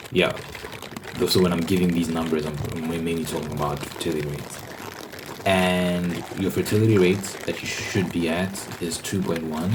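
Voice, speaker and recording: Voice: low-pitched, noisy background. An ASMR host giving an informal, rambling monologue.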